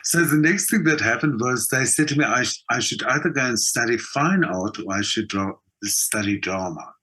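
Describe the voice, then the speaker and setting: A South African man with a bright, forward voice at an ordinary male pitch. He talks as an interview guest sharing his own story in a conversational way, stressing points by repeating words. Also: lively intonation, moderate pace. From South Africa, Johannesburg. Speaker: interview guest, actor and theatre designer. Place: video call from home country, indoors. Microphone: video call.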